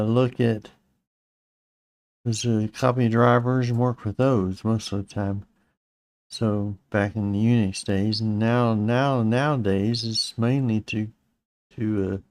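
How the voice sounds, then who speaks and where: Middle-aged male streamer, slightly raspy, casual and rambling; even delivery, close dry mic. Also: fairly low pitch, dry recording. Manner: rambling, with fillers and restarts. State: slightly raspy, clearing his throat. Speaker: host of a live-streamed Linux tutorial. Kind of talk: casual live-stream tech walkthrough.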